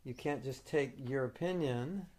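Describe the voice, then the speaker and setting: A man's voice: medium pitch, a little slow, mellow. A man leading a question and answer session as a spiritual teacher, arguing his point firmly and patiently. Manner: firm, reasoning, argumentative. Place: meeting room.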